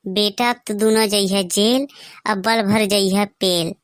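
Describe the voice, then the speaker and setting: A man playing a bullying village policeman in a comic cartoon, speaking sarcastically with a Bagheli accent and a pitched-up voice. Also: steady tone, unhurried, fairly bright tone, slight background noise. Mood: threatening. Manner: menacing, sarcastic, bossy. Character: bullying, threatening village policeman breaking up a party.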